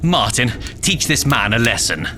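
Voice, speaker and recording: Man doing a sharp, haughty, indignant nobleman. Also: medium pitch, sing-song, moderate pace, a little hiss or hum, pitched above the performer's own voice. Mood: threatening. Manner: pompous, affronted.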